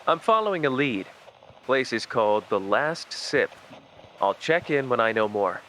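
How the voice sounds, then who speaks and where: A man's voice: medium pitch, sing-song, unhurried, fairly bright tone. Casting a man for a wry, theatrical young partner detective, middle voice, hissy noisy recording. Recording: noisy background hiss.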